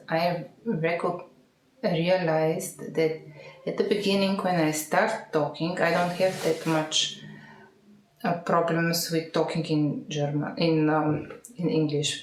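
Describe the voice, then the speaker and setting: A middle-aged woman from Bulgaria with a Bulgarian accent, speaking non-native English in an unscripted monologue to camera, halting and correcting herself as she searches for words. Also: low voice. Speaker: vlogger practising English speaking. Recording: echoey, roomy sound.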